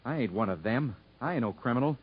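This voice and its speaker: A man's voice: mid-range voice, lively intonation, quick pace, breathy edge. A man acting a stubborn, sullen convict in a 1950s radio drama, speaking in plain rustic, defiant phrases. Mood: sullen, aggrieved. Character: stubborn, sullen male convict, proud and embittered. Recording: muffled, noisy vintage radio broadcast.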